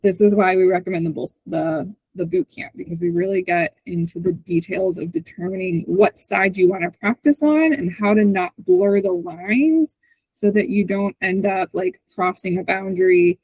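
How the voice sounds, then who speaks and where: A woman's voice: mid-range voice, lively intonation, moderate pace, a little mellow, dry recording, poor audio. A woman hosting an online call, giving an informal walkthrough in a conversational way, often checking in with the listener as she explains. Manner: conversational, often ends points with a checking "right?". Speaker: host presenting on a community call. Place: online video call with screen sharing.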